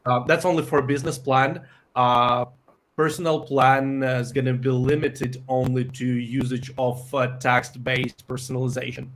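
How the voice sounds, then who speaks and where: A man from Ukraine, a native Ukrainian speaker, answering interview questions about his company with many pauses and fillers. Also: mid-range voice, little pitch movement, fairly bright tone. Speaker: software company founder.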